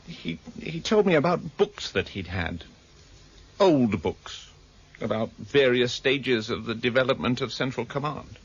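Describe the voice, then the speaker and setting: An actor playing a frightened, evasive citizen under interrogation in a radio drama, hesitant, nervous and stammering. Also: male voice, mid-range voice, expressive, measured pace, slightly rough, lo-fi sound. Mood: scared, pleading.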